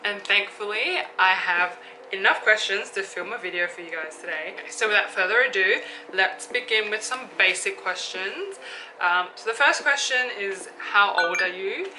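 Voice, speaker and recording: A woman's voice: medium pitch, expressive, moderate pace, pushed and bright, slight background noise, low-quality recording. A woman, chatting casually through a Q&A vlog and thinking aloud with fillers. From Australia. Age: 20s. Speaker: YouTuber. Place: room with an open window. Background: background music.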